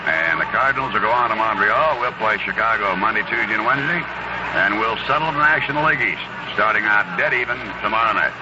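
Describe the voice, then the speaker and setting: A man's voice: fairly low pitch, quick pace. An American man calling baseball play-by-play in the style of 1970s radio commentary. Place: ballpark broadcast booth. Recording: noisy muffled 1970s AM radio broadcast.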